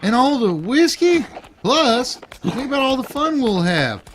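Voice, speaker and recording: An actor playing a mischievous, whiskey-loving younger outlaw brother in a western audio drama, with a folksy, excitable and cheeky manner. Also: male voice, very high voice, measured pace, soft background music, full character voice. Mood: gleeful.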